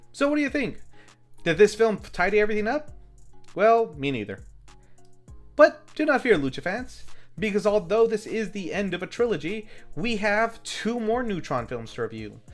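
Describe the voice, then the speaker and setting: A film review host delivers a wry, scripted recap with comedic timing. The mid-pitched voice swings widely in pitch, with music playing underneath. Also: normal speed. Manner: wry, animated storytelling with acted quotes.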